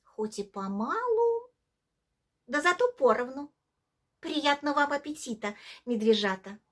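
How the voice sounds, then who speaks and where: A woman voicing a sly female fox in a folk tale, speaking with coaxing, drawn-out, falsely polite reassurance. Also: high voice, very animated, pitched above the performer's own voice. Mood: smugly polite. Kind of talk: theatrical bedtime folk-tale reading.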